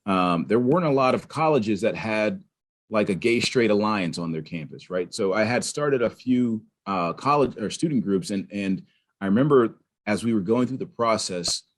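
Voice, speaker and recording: A man from Pittsburgh, a podcast guest, telling his story in an animated, conversational way with frequent restarts. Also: lowish voice, normal speed. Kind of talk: conversational interview.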